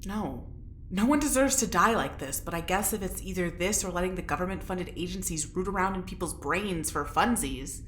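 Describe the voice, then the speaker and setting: Sardonic female prisoner, lowish, cutting voice, defiant gallows humor, audio drama, reverberant room. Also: expressive, normal speed, fairly bright tone. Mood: somber. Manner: dry, sarcastic. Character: sardonic, defiant young woman prisoner, dark-humored test subject. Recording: roomy echo, background noise.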